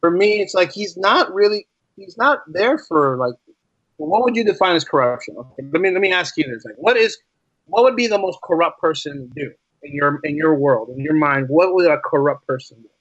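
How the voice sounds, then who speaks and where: Higher-pitched man, casual and explanatory. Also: a little fast, slightly rough, no room sound, dull audio. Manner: animated explaining, repeats phrases, acts out imagined quotes. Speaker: podcast guest, writer. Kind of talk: casual interview conversation.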